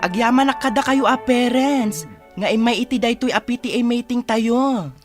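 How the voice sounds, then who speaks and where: A woman's voice: a little high, over music, close to an everyday voice. A woman voicing a kind, earnest young male schoolteacher in a village radio drama, polite and concerned. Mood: polite, curious. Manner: polite, unhurried.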